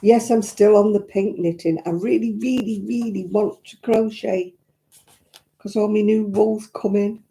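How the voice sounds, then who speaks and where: An older woman with a croaky voice, chatting and rambling to viewers while she knits on a live stream. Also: mid-range voice, steady tone, a little slow, warm tone. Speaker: crafting livestream host. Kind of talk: casual chat to live viewers. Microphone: own simple mic, live stream. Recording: crackly audio.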